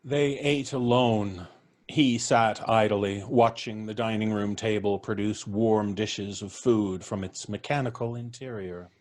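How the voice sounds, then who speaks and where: A man narrating a story in a live audio drama, with a measured, brisk storytelling manner. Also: on the low side, steady tone, darkish tone.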